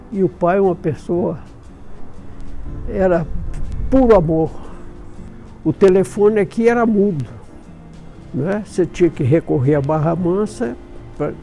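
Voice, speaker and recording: A man's voice: high-pitched, gravelly, mellow. An older man from the south of Minas Gerais, a retired doctor in his eighties, telling his life story in a folksy way with chuckles. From south of Minas Gerais, Brazil.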